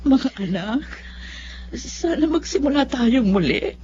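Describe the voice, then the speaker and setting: A woman's voice: sits high, big pitch swings, takes it slowly, dark tone, a little hiss or hum, full character voice. An actress playing a remorseful, hot-tempered middle-aged mother in a Filipino radio drama, with a Filipino accent. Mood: ashamed, pleading. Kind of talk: Filipino radio drama acting and first-person narration. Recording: dull lo-fi radio sound, music underneath.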